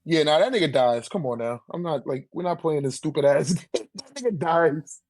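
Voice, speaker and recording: A man's voice: fairly high pitch, animated, normal speed, a little mellow, no room sound. A man debating casually in profane slang, repeating his phrases for emphasis.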